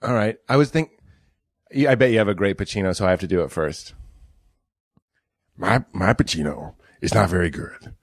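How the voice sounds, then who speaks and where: A man hosting a comedy podcast who riffs loosely and jokes around. His voice is low and carries a gravelly fry. The sound is dry, with no room around it. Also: moderate pace, pauses often, no echo. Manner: riffing, joking, breaks into laughter. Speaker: podcast host. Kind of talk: comedic casual chat.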